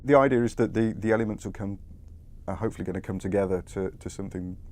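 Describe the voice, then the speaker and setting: A man talking in an interview, halting and repeating phrases as he searches for words. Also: a little mellow. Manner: halting, repetitive. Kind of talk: interview talk. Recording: faint low rumbling noise.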